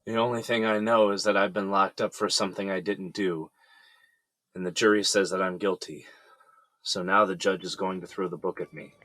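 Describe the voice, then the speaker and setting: A man's voice: sits low, steady tone, moderate pace, dry recording. A man playing Drew, a jailed young man who pleads his innocence to his mother in an audio drama. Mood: distraught. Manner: distraught, pleading, quiet.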